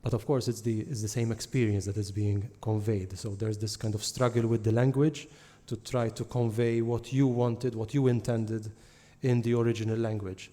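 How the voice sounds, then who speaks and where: A man moderating a book launch interview, asking thoughtful, halting questions. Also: fairly low pitch, flat delivery, mellow.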